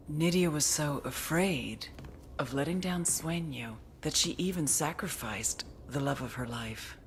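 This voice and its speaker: Tough steely lady spy officer, low voice, hard-edged, game cutscene. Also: a little hiss or hum. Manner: deliberate, hard-edged, menacing. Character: tough, steely, foul-mouthed woman intelligence officer. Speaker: intelligence officer. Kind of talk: video game cutscene acting.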